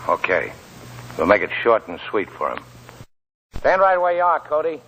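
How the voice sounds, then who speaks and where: Tough, sneering prospector. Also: male voice, mid-range voice, wildly swooping pitch, normal speed, fairly bright tone, slight background noise. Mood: menacing. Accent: American. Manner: sardonic, rough slangy talk. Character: tough, suspicious, sneering American gold prospector. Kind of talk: 1940s radio acting. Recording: dull old 1940s radio broadcast.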